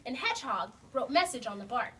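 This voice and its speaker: Animated woman reading a children's story. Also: on the high side, fairly quick. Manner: animated, talks to the young audience. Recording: distant mic, faint noise.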